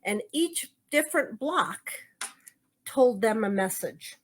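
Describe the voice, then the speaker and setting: A woman's voice: a little high, expressive, slow, fairly bright tone. A woman co-hosting a casual quilt shop video, chatting and rambling as she hunts for words while showing her craft projects. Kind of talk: casual show-and-tell chat.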